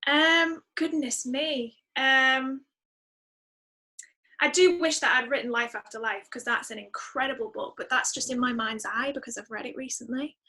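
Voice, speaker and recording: Woman podcast guest chatting casually, bright highish voice, really emphatic, says stuff twice for emphasis. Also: fairly even intonation, normal speed. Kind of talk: casual conversational interview.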